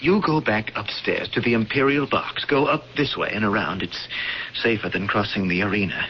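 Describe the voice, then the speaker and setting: An actor voices a proud, seasoned Roman hunter in a vintage radio play. He is on the low side and speaks deliberately, with dramatic pauses. The sound is muffled, like an old broadcast. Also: slight background noise, lightly acted. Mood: calm, wry. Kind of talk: 1970s radio drama acting.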